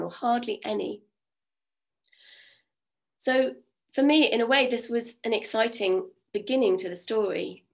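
A woman in her fifties with a croaky voice from a cold, telling folk stories in a chatty way on a video call from home. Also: mid-range voice. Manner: warm, chatty, with many fillers. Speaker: storyteller. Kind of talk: oral storytelling. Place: home room. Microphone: video call webcam.

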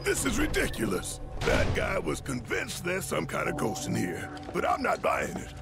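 An actor playing a blustering, secretly spooked tough guy in a video game, with folksy slangy talk and an animated comic delivery. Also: on the high side, normal speed, gravelly, over loud music, exaggerated voice.

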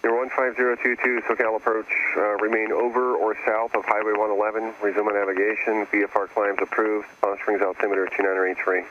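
Fast, flat male controller over noisy radio. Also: lowish voice. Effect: aviation radio. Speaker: air traffic controller. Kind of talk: clipped radio instructions. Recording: muffled radio transmission with loud static.

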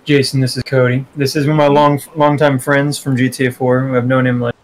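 Male game streamer, mid-pitched, loose sweary chat, faint noise behind him. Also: little pitch movement, a little fast, rough recording. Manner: swears freely. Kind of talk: casual chat.

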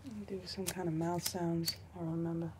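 A young woman playing a deadpan backwoods helper in a soft-spoken ASMR crime roleplay, halting and often whispering. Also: on the low side, natural voice. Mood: soothing, hesitant. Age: young adult. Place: dim indoor room, seen at a bar counter. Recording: noticeable background noise, room ambience.

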